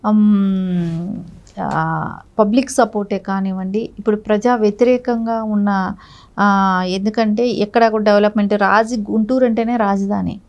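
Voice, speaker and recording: A woman's voice: medium pitch, fairly even intonation, normal speed, pauses often, a little mellow, highs cut off. A middle-aged woman giving critical, argumentative political commentary in a studio interview, often posing rhetorical questions. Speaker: political party spokesperson, interview guest. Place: TV news studio, indoors.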